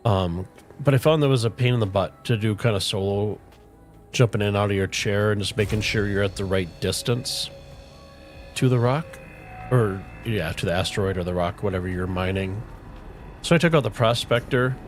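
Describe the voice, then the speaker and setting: A middle-aged man chatting casually with viewers on a game livestream, relaxed and rambling, with a soothing voice. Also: lowish voice, moderate pace. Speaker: streamer. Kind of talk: casual livestream chat while gaming. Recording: faint music playing underneath.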